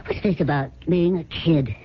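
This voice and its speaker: An actress playing a worldly, cynical secretary and mistress, dry and teasing, with a husky voice, in a 1970s radio drama. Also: female voice, low voice, moderate pace, slight background noise, close to an everyday voice. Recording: muffled old radio recording.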